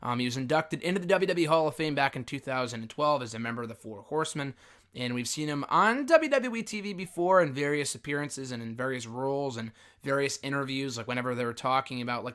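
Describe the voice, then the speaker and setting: Hoarse-voiced man hosting wrestling news. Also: medium pitch, fairly bright tone. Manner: chatty, opinionated, frequent um fillers. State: hoarse from a cold, raspy. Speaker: wrestling news channel host. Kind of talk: casual news commentary to camera.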